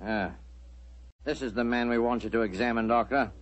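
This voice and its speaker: A man's voice: mid-range voice, fairly even intonation, normal speed, faint music behind, lightly acted. An actor playing a suspicious, commanding, menacing man running a secret club in a 1940s radio drama. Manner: curt, commanding. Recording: vintage radio broadcast recording.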